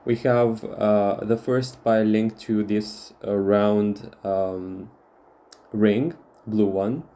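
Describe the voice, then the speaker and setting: Low, mellow man with a Russian accent. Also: fairly even intonation, drawn-out words. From Moldova. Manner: hesitant, many fillers and restarts. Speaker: tarot reader. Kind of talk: casual talk to camera.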